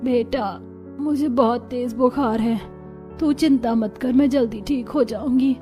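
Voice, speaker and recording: A woman's voice: a little high, measured pace, dark tone, faint music behind, close to an everyday voice. A woman voicing a poor, tender mother in a cartoon story, speaking in a reassuring way. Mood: unwell, reassuring. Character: poor, ailing, tender mother of a college girl. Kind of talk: Hindi cartoon moral story acting.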